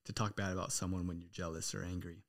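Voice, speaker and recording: A man chatting casually on a podcast, quick and animated, swearing freely as he reflects. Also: low voice.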